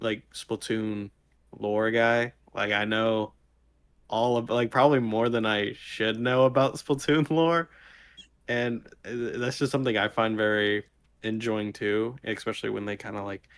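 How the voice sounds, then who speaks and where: Low-pitched man, rambling podcast chat. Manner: rambling, loosely worded enthusiasm. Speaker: podcast co-host. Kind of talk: casual chat.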